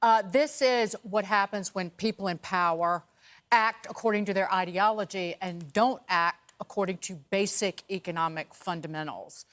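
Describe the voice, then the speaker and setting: A woman's voice: medium pitch, normal speed, a bit bright. A woman commentator on a cable news panel, arguing her point assertively. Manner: assertive, argumentative.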